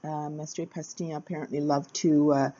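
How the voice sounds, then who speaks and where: A woman narrating a short capoeira lesson video in a casual, halting way, with frequent uh fillers between phrases. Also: low-pitched, flat delivery, warm tone, dull audio. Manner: hesitant, many uh fillers, plain. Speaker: capoeira teacher.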